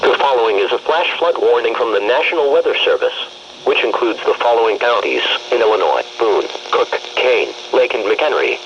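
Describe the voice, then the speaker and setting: A man's voice: mid-range voice, animated, fairly quick. A man's synthetic, formal and impersonal voice reading a scripted weather warning bulletin on the radio. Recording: noisy, muffled weather radio broadcast.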